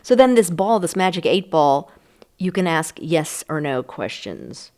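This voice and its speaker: Older-ish american lady, voice a little low, chatty informal podcast co-host talking nostalgia. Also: sing-song, unhurried, warm tone. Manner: conversational, informal. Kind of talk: casual nostalgic chat.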